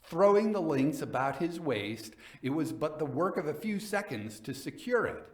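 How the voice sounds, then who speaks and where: A man giving a dramatic reading as a coldly vengeful nobleman recounting his murder in a gothic tale, theatrical, deliberate and emphatic. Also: fairly high pitch, very animated, echoey room. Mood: grim, suspenseful.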